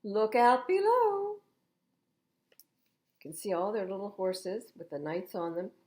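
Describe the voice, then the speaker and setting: An older woman reading children's storybooks aloud as a storytime host, expressive and emphatic, with chatty asides to young listeners. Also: lowish voice, fairly quick. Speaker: library storytime reader.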